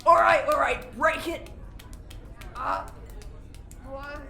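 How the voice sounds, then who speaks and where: An actress playing a weary, stern and irritated prison guard in an audio drama, curt and commanding. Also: female voice, sits high, slow pace, creaky, bright, forceful tone, echoey room, heavily acted.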